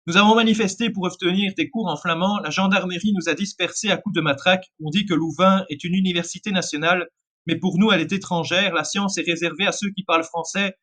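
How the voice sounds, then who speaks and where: A man's voice: sits high, steady tone, fast talker, pushed and bright. A man reading the words of an indignant 1930s student in his own voice. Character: indignant young male student protester.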